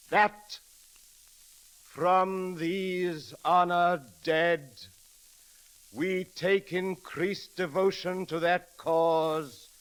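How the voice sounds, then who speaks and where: A crackly old radio recording of a reverent man in a 1930s drama. He recites with great solemnity and deliberation, very slowly. His voice sits slightly high for a man. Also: exaggerated voice. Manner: solemn, deliberate oratory, swelling from hushed to fervent.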